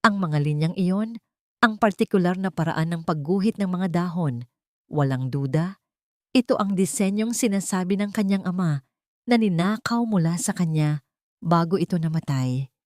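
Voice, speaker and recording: A woman's voice: lowish voice, expressive, moderate pace, warm tone, no echo, clean studio sound. A woman narrating a scripted dramatic story as an audio drama narrator.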